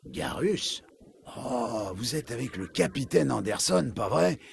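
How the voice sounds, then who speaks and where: Sleazy, gravelly bitter cop. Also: male voice, medium pitch, animated, measured pace, very noisy. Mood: smug, insinuating. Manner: sneering, insinuating. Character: sleazy, bitter male human cop, smug gossip. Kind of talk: video game voice acting.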